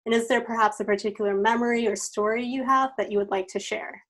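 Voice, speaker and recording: A woman in her thirties from the United States, hosting a podcast discussion over a video call from her bedroom in a clear, measured way. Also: fairly high pitch, steady tone, a bit bright. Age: 30s. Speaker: podcast host. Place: bedroom at home, indoors. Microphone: video call from home.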